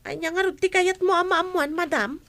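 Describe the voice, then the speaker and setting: A woman's voice: extremely high pitch, steady tone, fairly quick, fairly bright tone, dry recording. A woman with an Ilocano accent playing an outspoken, principled housemaid in a radio comedy drama, plain-spoken and reproving. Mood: guarded. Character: outspoken, principled housemaid answering back to madam. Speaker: voice actor.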